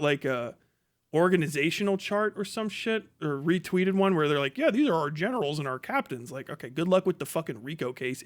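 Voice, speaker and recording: Blunt, higher-pitched man, swearing freely. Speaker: live stream host. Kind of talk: casual, profane ranting and storytelling.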